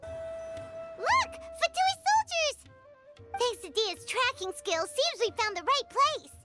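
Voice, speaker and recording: A tiny, excitable fairy sidekick from a video game, extremely high and squeaky. The childlike voice swoops expressively and draws its words out slowly, anxious by temper, over music. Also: female voice, full character voice. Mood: excited. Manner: exclamatory, expressive. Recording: background music under the dialogue.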